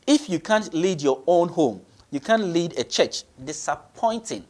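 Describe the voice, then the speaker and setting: A man's voice: a little high, animated, measured pace, a little mellow. A man with a Ghanaian accent hosting radio commentary, giving opinions emphatically, repeating phrases for stress and switching between two languages. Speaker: radio show host. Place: radio studio.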